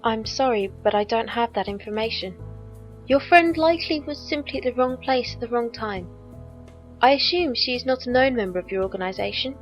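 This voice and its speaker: An actress playing a loyal, composed squire in an audio drama, speaking formally and precisely. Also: female voice, a little high, brisk, faint music behind, close to an everyday voice. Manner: formal, precise, stilted wording.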